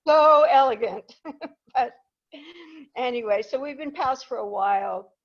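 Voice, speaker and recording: Slow-talking older woman telling stories. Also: on the high side, lo-fi sound. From Peru. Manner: humorous, chatty, self-deprecating. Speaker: guest speaker. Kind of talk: anecdotal storytelling to a live audience.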